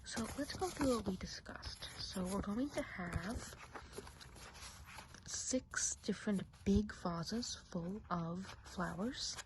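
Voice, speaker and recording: A woman playing a friendly, gossipy witch of the woods in a soft, breathy ASMR roleplay, often whispering and musing gently. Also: medium pitch, noisy background, lightly acted. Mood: musing, chatty. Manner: chatty, gentle, unhurried musing.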